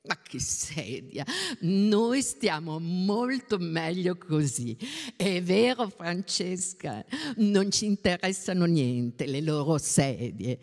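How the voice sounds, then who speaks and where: A woman's voice: mid-range voice, big pitch swings, unhurried. Woman acting a gushing society mother, very echoey. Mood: brisk, bossy. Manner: exclamatory, sing-song baby talk. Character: brusque, gushing society mother cooing at a child. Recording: very reverberant room.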